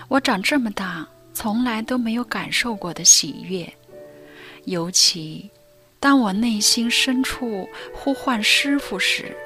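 A young woman narrating a radio drama in first person, soft and confessional, often near a whisper. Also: medium pitch. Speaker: narrator and lead. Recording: dry studio voice with faint music.

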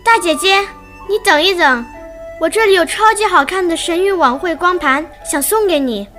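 A woman's voice: very high-pitched, expressive, moderate pace, a bit bright, soft background music. A woman voicing a polite, eager little girl in a radio drama. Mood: sweet, eager.

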